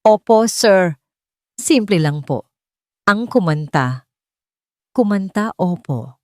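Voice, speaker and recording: A woman's voice: mid-range voice, lively intonation, slow, dry recording, studio quality. A woman voicing a shy, respectful young housemaid in an audio story. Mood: hesitant, wistful.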